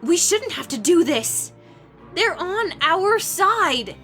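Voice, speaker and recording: Casting: woman as idealistic fantasy teen girl, very high, sharp voice, anxious and earnest, unhurried delivery over music. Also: sing-song, heavily acted. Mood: earnest, distressed. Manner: earnest, urgent pleading. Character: anxious, idealistic teenage village girl pleading for peace. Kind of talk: dramatized fantasy audiobook acting.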